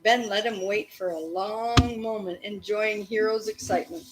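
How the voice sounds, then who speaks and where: A woman reading a children's story aloud expressively, in an animated way meant to engage a young listener. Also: medium pitch, normal speed, background noise.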